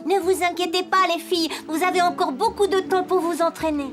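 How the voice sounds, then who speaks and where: Une femme qui joue une petite chatte de dessin animé, gentille et encourageante, au ton rassurant et enjoué. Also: female voice, very high voice, fairly even intonation, brisk. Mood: cheerful, reassuring. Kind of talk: cartoon dub acting. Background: music.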